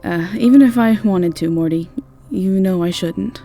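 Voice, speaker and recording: A woman's voice: mid-range voice, moderate pace, dark tone, soft background music, lightly acted. A woman voicing a guarded, exasperated but caring woman of a fantasy inn, with a dry, no-nonsense manner. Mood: reluctant. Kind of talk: fantasy audio roleplay acting.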